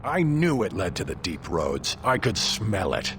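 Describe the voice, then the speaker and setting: Deep, gravelly gruff dwarf. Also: male voice, big pitch swings, measured pace, a bit bright, exaggerated voice. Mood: proud, assured. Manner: blunt, gruff, earthy. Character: gruff, hearty male dwarf warrior, proud of his people. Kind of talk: fantasy video game acting.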